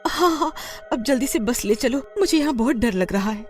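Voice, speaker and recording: Woman as protective, worried mother in an audio horror tale; animated, fretful delivery. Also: a little high, moderate pace, soft background music, voice pushed up, lightly acted. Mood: frightened. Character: anxious, protective young mother of two.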